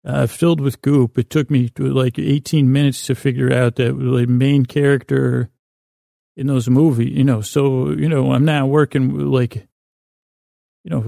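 A man hosting a bedtime podcast with a creaky voice, rambling in a halting, self-deprecating way that wanders and breaks off mid-word. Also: mid-range voice, mellow, dry recording, clean studio sound. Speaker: podcast host. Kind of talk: rambling bedtime monologue to help listeners sleep.

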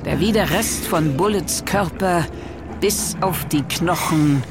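A man narrates a horror audio drama with dramatic delivery. His pace is slow, his voice gravelly, and music plays clearly underneath. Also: a little high.

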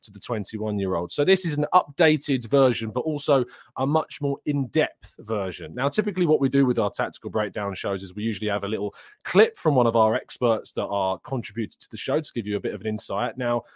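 A male host talks fast and chattily, upbeat as he sets up questions for his guest. His voice sits fairly low, and the sound is dry and close. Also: dry recording. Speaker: show host. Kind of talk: casual interview chat.

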